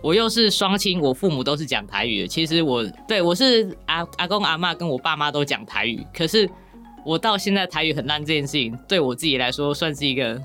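A woman's voice: low voice, very animated, fairly quick, a bit bright, faint music behind. A woman with a Taiwanese Mandarin accent chats casually and candidly as a guest novelist, joking often and poking fun at herself. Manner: self-deprecating, joking, giggly. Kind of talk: casual, candid podcast chat.

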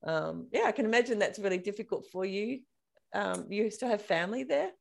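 A woman's voice: medium pitch, animated, fairly quick, creaky. An Australian woman hosting a podcast, chatting in a bubbly, talkative way and laughing often. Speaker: podcast host. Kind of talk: casual interview chat.

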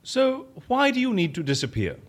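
An actor playing a flamboyant, easily bored, petulant interviewer in an audio comedy-drama, theatrical and fussily mock-polite. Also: male voice, high-pitched, wildly swooping pitch, moderate pace, full character voice. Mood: haughty, bored. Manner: theatrical, fussy, mock-polite, stammering for show.

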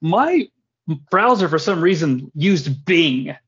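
A man hosting a podcast in casual, jokey chat with animated reactions. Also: fairly high pitch, takes it slowly. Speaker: podcast host. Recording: dull lo-fi podcast audio.

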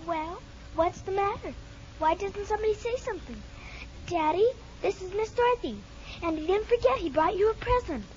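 Casting: small daughter, piping and excitable, affectionate, old radio play, dull recording. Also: female voice, very high-pitched, normal speed, slight background noise. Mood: puzzled, pleading. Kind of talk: 1950s radio drama acting.

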